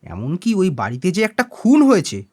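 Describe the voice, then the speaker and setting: A male actor plays an eager young secretary in an audio detective drama. His voice is high and very animated, and he reports in a brisk, deferential way. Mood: earnest reporting.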